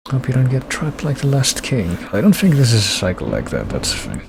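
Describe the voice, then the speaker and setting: A man's voice: mid-range voice, huge pitch swings, a little fast, vocal fry, a little mellow, slight background noise. A man streaming a video game, rambling and thinking aloud as he plays. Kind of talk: gameplay commentary.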